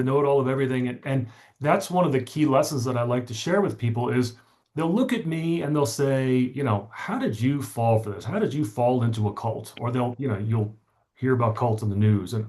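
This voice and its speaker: A man's voice: medium pitch, a little fast, darkish tone. A middle-aged man speaking as an interview guest, explaining his story articulately and stressing points by repetition. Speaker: podcast guest, author.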